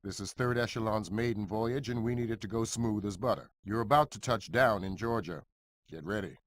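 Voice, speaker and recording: A man's voice: lowish voice, brisk, dry recording, studio quality, natural voice. A man playing a businesslike intelligence commander, giving a briefing with authority in a video game cutscene. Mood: firm, businesslike.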